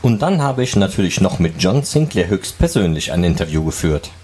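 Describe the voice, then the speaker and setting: Male fan-podcast host, fairly low pitch, informal interviewing, rambling conversational questions. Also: big pitch swings, a little fast, a little mellow, a little hiss or hum. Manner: chatty, loosely phrased questions.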